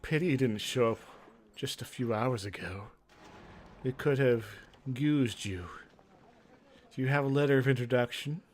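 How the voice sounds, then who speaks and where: A man voicing a brisk, commanding woman mage in her fifties from a fantasy game, in a dry, formal manner. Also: mid-range voice, slight background noise, close to an everyday voice. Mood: curt.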